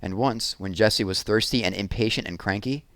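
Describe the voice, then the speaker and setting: Low-voiced man reading a children's book aloud. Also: moderate pace, fairly bright tone, dry recording. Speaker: read-aloud narrator. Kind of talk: expressive children's chapter book read-aloud.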